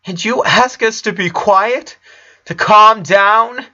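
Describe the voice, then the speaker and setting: A man's voice: sits high, slow, sharp tone, voice pushed up, full character voice. A man voicing an anguished young girl, grieving and irritated, with a stammering, emotional delivery. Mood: angry, anguished. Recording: dull lo-fi recording.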